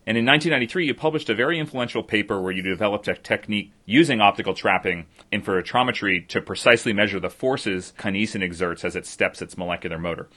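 A young man hosts a science interview, reading prepared introductions and questions. He talks quickly in a low voice with a bright, forceful tone. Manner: brisk, reads prepared text. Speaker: interview host, postdoc.

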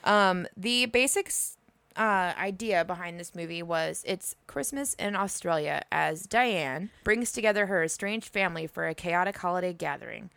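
A woman's voice: fairly low pitch, normal speed, gravelly, fairly bright tone, smooth, dry recording. A woman co-hosting a casual movie podcast, laughing often and swearing freely. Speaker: podcast co-host. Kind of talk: casual chat and movie review.

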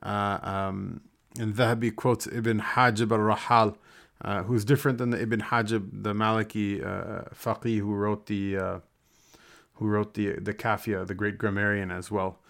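A man teaching religious history in an informal lecture, speaking haltingly and discursively with scholarly asides. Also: lowish voice, little pitch movement, vocal fry, a bit bright. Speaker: Islamic teacher leading a religious gathering.

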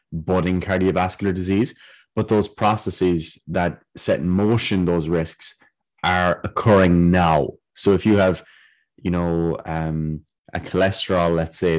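A young man co-hosting a podcast, a trainee doctor explaining health topics in a measured, casual way. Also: deep voice, darkish tone. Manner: explanatory, measured, occasional fillers.

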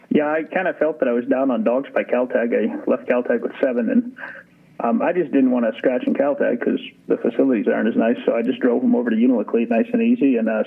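A man's voice: mid-range voice, gravelly, breathy edge. A man in his forties, from Ukraine, a sled dog musher answering interview questions in a laid-back, dry-joking way over a phone line. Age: 40s.

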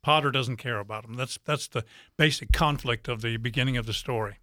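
A man's voice: mid-range voice, fairly quick, pushed and bright, no echo. An American man speaking as a theatre guest in a radio studio, explaining things conversationally. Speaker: theatre player, interview guest.

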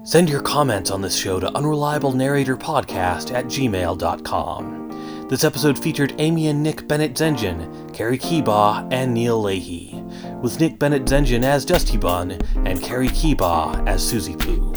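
A man's voice: mid-range voice, expressive, normal speed. A man reading scripted podcast announcements, with plucked guitar music behind him. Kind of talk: scripted narration.

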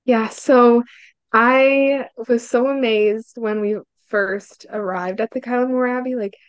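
A woman's voice: on the high side, fairly even intonation, slow pace, clean tone, dry recording. Young woman student from hungary chatting, lots of likes and ums, dry close audio. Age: young adult. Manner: upbeat, frequent "like" and "um" fillers. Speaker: student guest. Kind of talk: casual interview answers.

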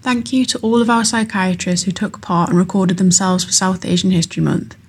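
A woman podcast host reading a scripted outro in a polite, clear announcing manner. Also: fairly low pitch, fairly even intonation, brisk.

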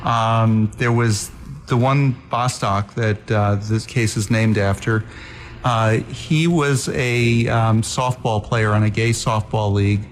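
A man's voice: fairly low pitch, steady tone, takes it slowly. A man hosting a talk show, recounting news in a chatty way with asides. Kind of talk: casual talk show conversation.

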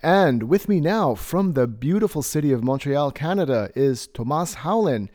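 A man's voice: on the high side, lively intonation, moderate pace, a little mellow. A man hosting a podcast, reading a scripted guest introduction and asking interview questions. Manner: scripted introduction, then brief backchannels. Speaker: podcast host.

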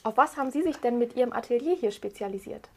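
A woman with a slightly high-pitched voice works as an interviewer. She delivers prepared introductions and questions in an orderly interview style. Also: lively intonation, fast.

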